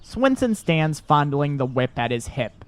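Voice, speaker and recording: A man's voice: on the high side, big pitch swings, measured pace, a little hiss or hum. A man narrating a scripted audio drama story.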